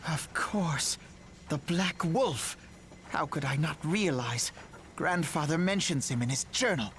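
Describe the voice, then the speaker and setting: An actor playing an earnest, idealistic young scholar-leader in a fantasy game, speaking in formal, archaic phrasing. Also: male voice, fairly high pitch, slow pace, fairly bright tone, a little hiss or hum. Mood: shocked dismay. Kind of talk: fantasy game dialogue acting.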